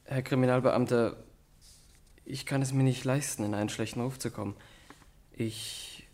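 An actor playing a timid, contrite young male student suspect in a radio drama, speaking haltingly and earnestly. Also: mid-range voice, fairly even intonation, darkish tone, natural voice. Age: young adult. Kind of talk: German radio drama acting.

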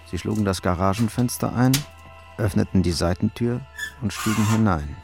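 A male narrator reads a crime story for a radio play. His voice sits low with a gravelly creak. The delivery is scripted storytelling, with music running underneath. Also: normal speed.

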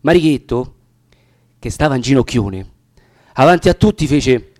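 A man's voice: medium pitch, animated, unhurried, a little mellow, dry recording, clean studio sound. A man with a Roman accent reciting dialect verse for radio in a theatrical, impassioned storytelling manner. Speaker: reader of dramatic verse.

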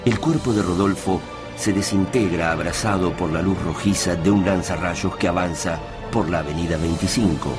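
A man's voice: deep voice, moderate pace, natural voice. A man delivering solemn, literary narration for a radio drama. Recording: loud music and gunfire behind.

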